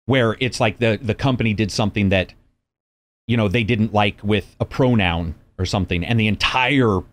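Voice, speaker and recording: Low-voiced, easygoing man chatting. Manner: agreeable, chatty, quick to laugh. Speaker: podcast guest. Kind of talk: casual discussion.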